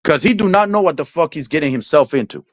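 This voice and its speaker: A man's voice: on the high side, animated, quick pace, no room sound, clean studio sound. A man with a Crucian accent from St. Croix, ranting heatedly on a livestream, profane and taunting. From St. Croix, US Virgin Islands. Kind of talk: angry livestream rant. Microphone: streaming setup.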